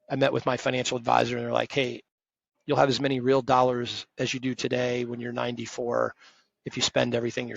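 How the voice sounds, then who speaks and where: A man's voice: medium pitch, vocal fry, slightly rough, dry recording, highs cut off. A man in his fifties, a retired firm partner, talking directly and emphatically as a guest in an interview. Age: 50s. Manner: emphatic, direct, self-described loud voice. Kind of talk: conversational interview.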